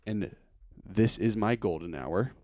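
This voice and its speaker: A man's voice: fairly low pitch, measured pace, a little mellow, no room sound, clean studio sound. A man hosting an interview as casual chat, talking in a slangy, buddy-like way. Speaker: interview host.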